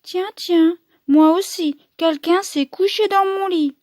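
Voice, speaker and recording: Woman playing a gentle, high-voiced mama bear. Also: slow, dry recording, studio quality. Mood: mildly surprised. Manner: soft, mild. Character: gentle medium-sized mother bear, adult female. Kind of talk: children's storybook character voice.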